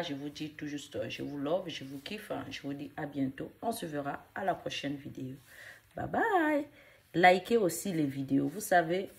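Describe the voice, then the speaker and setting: A woman with a cold and a cough, casually demonstrating a hair care recipe at home and rambling with many fillers. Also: sits low.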